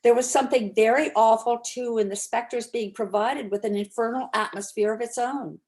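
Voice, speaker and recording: A woman's voice: on the high side, fairly even intonation, moderate pace, darkish tone. A woman narrating a classic story aloud as an amateur dramatic reading.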